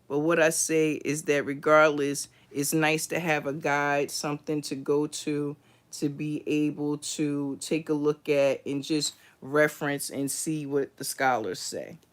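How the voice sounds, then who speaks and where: Slow, smooth-voiced woman host. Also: deep voice. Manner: unhurried, thoughtful, many fillers and restarts. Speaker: host of a book review livestream. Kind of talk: casual livestream book talk.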